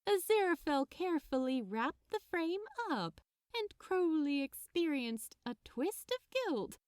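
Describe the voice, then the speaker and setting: A woman reading fiction aloud as narrator in an expressive storytelling style. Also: a little high, dry recording, clean studio sound.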